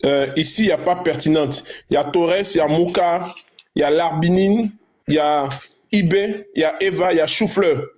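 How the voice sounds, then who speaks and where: A man's voice: a little high, normal speed, a little mellow. A man hosting a live talk show, theatrical and insistent, repeating his questions over and over.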